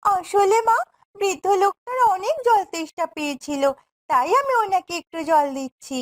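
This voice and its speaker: A woman's voice: very high-pitched, lively intonation, moderate pace. A woman playing a meek, kind, hard-done-by young stepdaughter in a fairy-tale cartoon, with a plaintive, dutiful way of speaking.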